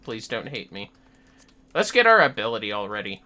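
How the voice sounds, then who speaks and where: A man's voice: on the low side, big pitch swings, moderate pace, pushed and bright. A man chatting casually on a gaming livestream, sweary and playfully theatrical, with music behind him. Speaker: livestreaming gamer.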